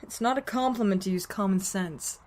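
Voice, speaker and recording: A woman's voice: mid-range voice, moderate pace, lightly acted. A woman acting a blunt, sardonic survivor in an audio drama, with casual, naturalistic delivery. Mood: blunt, dry. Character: blunt, sweary, sardonic adult female survivor.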